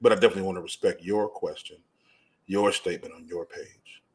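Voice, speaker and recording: A man's voice: deep voice, steady tone, brisk. A man co-hosting a casual chat with his wife, joking and teasing. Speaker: co-host.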